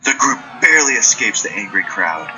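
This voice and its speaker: A man's voice: fairly low pitch, very animated, brisk, bright, forceful tone, dull audio, soft background music. A man narrating a scripted audio drama as a storyteller.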